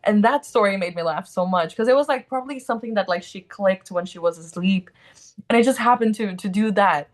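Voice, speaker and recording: A woman's voice: medium pitch, a little fast. A young woman in her twenties from Brazil, with a Brazilian accent, chatting as an interview guest and filling her talk with "like" and "um". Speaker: guest, actor. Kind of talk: casual interview chat.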